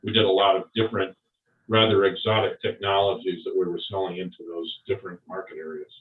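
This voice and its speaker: An older man recalling his service and career in an interview, talking slowly with many pauses and fillers. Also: deep voice, flat delivery. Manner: slow, halting, many fillers. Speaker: interviewee, Vietnam veteran. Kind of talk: oral history interview. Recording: far off, roomy, phone-like.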